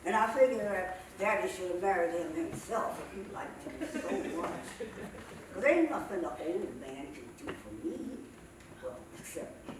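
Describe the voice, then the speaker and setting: A woman performing as a sassy, cranky old woman comedian, telling stand-up stories in a folksy, drawn-out way with dramatic pauses. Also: lowish voice, very animated. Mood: blunt, feisty. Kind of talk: theatrical stand-up storytelling monologue. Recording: echoey, noisy stage recording from far off.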